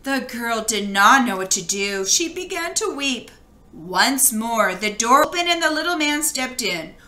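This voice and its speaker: A woman reading a children's storybook aloud with expressive, dramatic storytelling. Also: a little high, a little slow, reverberant. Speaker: children's book read-aloud reader.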